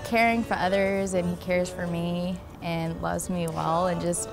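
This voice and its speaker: A woman's voice: lowish voice, little pitch movement, a little slow, vocal fry, over music. A young adult woman telling her own love story in a casual, personal way.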